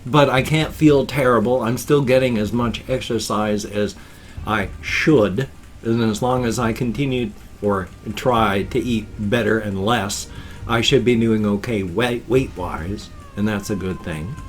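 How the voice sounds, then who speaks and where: A man's voice: on the low side, a little slow. A man in his fifties with a stuffed-up, wheezy voice, rambling casually to a webcam in a small room at home. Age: 50s. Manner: animated, gestures constantly with both hands. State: congested and wheezy. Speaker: vlogger. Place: small home room full of toys and collectibles. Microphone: webcam framing at desk.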